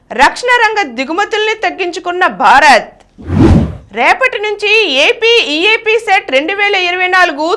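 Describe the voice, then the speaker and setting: A woman's voice: very high voice, fairly bright tone. A woman reads a scripted news bulletin in a formal announcer's manner. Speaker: news reader.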